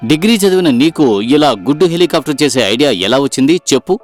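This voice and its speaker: A man's voice: a little high, sing-song, fairly quick, faint music behind. A man voicing a confident, encouraging businessman in a cartoon moral story, speaking in a businesslike way. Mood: curious, approving.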